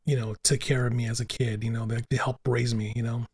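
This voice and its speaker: A man's voice: mid-range voice, little pitch movement, fast talker, a little mellow, breathy edge. A man whose first languages were Italian and Spanish, talking earnestly on a podcast and repeating himself for emphasis. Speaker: podcast co-host. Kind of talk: casual podcast chat.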